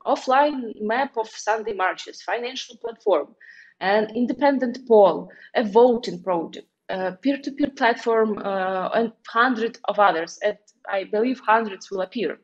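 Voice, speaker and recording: Belarusian woman doing an online talk, kinda halting but earnest, accented english, lo-fi muffled call audio. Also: on the high side. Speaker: activist speaker. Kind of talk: online conference presentation. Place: small indoor room, on a video call.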